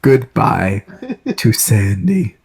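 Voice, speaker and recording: A man narrating a story aloud for friends in an amateur, dramatic, halting way. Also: on the low side, sing-song, creaky, a little mellow, breathy edge.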